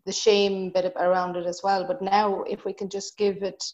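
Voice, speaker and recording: A woman interviewing a guest in a gentle, supportive way, thinking aloud as she talks. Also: medium pitch, level tone, normal speed, dull audio. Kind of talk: conversational interview.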